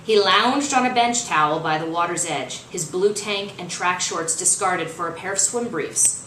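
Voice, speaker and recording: A woman reading fiction aloud as its author, in expressive, dramatic narration. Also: lowish voice, normal speed. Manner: dramatic, acts out dialogue. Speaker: author reading their own novel. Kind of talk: expressive audiobook-style narration. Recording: roomy, distant mic, faint noise.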